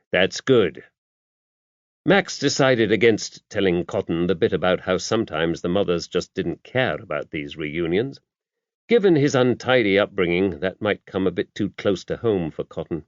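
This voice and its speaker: A man's voice: deep voice, no room sound. A man reading a mystery novel aloud as a scripted audiobook narration. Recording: dry, dull lo-fi audio.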